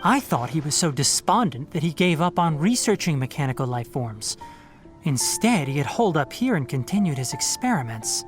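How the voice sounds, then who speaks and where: A man's voice: a little high, big pitch swings, moderate pace, music in the background. An actor playing a thoughtful young man who investigates and explains what he finds in a game. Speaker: game character.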